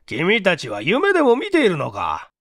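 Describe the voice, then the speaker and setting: A man voicing a gruff, skeptical middle-aged police inspector with blunt, old-fashioned speech in a mystery audiobook. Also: high-pitched, huge pitch swings, normal speed, a bit bright, no room sound, studio quality, voice pushed up, heavily acted. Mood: incredulous, irritated. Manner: blunt, old-fashioned rustic turns of phrase. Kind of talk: dramatized mystery audiobook reading.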